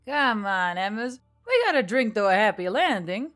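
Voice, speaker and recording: A woman's voice: medium pitch, sing-song, moderate pace, a bit bright, no echo, studio quality, faint music behind. A woman voicing a cheerful, adventurous female centaur in a storybook, chummy and boastful. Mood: cheerful, coaxing. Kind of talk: storybook character dialogue.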